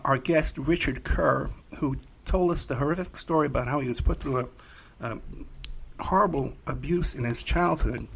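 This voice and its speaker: Gravelly-voiced man hosting a podcast. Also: mid-range voice, poor audio. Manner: loose, rambling delivery with frequent uh fillers. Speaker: podcast host and private investigator. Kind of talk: casual podcast hosting and ad reads.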